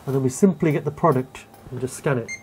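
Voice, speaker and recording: A man in his thirties, a shop owner, calmly explaining his store during an on-site interview, with many fillers. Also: mid-range voice, quick pace, slight background noise. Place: shop and community hall, indoors.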